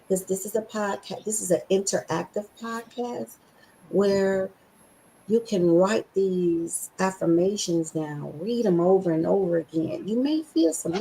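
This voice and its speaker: A woman hosting a podcast, with a scratchy voice, talking with excited emphasis and slowly repeating affirmations. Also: medium pitch, creaky. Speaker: podcast host.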